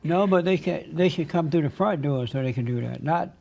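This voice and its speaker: Blunt male host, dark gravelly voice, confrontational questioning. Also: a little high, brisk, lo-fi sound. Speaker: talk show host.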